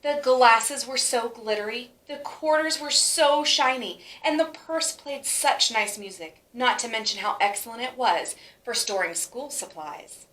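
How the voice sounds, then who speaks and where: A woman reading a children's storybook aloud with expressive, emphatic phrasing for young listeners. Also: on the high side, measured pace, hardly any pauses, reverberant, poor audio. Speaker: teacher reading a picture book aloud.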